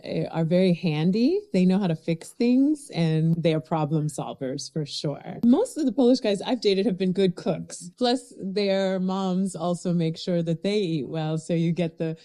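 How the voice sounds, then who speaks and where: A woman's voice: on the low side, expressive, measured pace, hardly any pauses, dark tone. A woman chatting easily in a street interview, chuckling now and then. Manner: easygoing, chuckles while talking. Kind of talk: casual chat.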